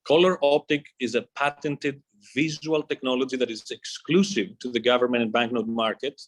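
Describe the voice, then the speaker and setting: A man with a medium-pitched voice gives a scripted corporate presentation in the role of a company executive. He reads formally and in measured fashion, setting out his material in short phrases. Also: steady tone.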